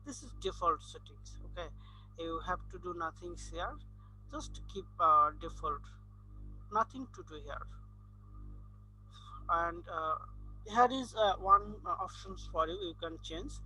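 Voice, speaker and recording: A man's voice: sits high, expressive, a little slow, long pauses, bright, forceful tone, a little hiss or hum, poor audio. A man with a Bangladeshi accent and Bangla as his mother tongue, talking through an unscripted screen tutorial in hesitant, piecemeal phrases. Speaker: freelancer giving an online tutorial.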